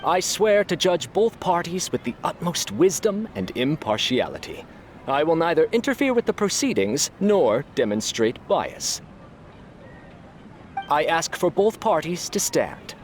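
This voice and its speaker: Ceremonious scholar, swooping delivery. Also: male voice, a little high, very animated, a little slow, a little hiss or hum. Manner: formal, declamatory. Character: solemn, ceremonious male scholar presiding as adjudicator. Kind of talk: video game dub acting.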